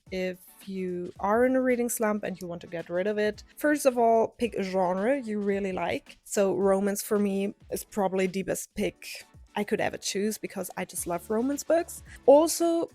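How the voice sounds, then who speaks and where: A woman's voice: medium pitch, a little mellow, dry recording. A woman book vlogger talking to camera in a casual, chatty, enthusiastic way.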